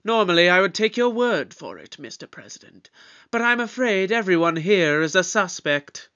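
Man acting a high-voiced, commanding detective Doctor. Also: big pitch swings, normal speed, pushed and bright, lo-fi sound, full character voice. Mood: grave. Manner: theatrical, formal, authoritative. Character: eccentric, commanding alien Doctor leading a murder inquiry. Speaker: actor in an audio drama. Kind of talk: scripted audio drama acting.

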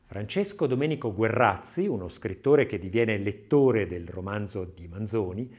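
A man reading a scripted literary audiobook essay as narrator, in a measured, expressive way. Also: on the low side, lo-fi sound.

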